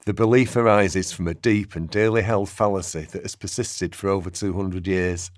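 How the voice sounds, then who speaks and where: A man acts as the earnest narrator of a social-history radio play. His voice is very deep, with a formal, measured delivery. The sound is dry, with no room around it. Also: steady tone, no room sound, close to an everyday voice. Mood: grave, indignant. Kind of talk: scripted documentary-style radio play narration.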